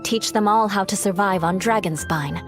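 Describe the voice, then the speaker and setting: A woman's voice: medium pitch, normal speed, lightly acted. An actress playing a proud, self-reliant young noblewoman warrior in a fantasy game, poised, formal and haughty. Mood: self-assured. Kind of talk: fantasy video game dialogue. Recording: game audio with faint music.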